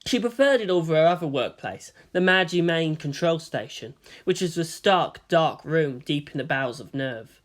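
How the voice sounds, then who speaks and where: A woman narrates scripted fiction. Her voice sits a little low, and her intonation moves widely and expressively from phrase to phrase. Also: expressive, normal speed, few pauses. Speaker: fan fiction reader and narrator.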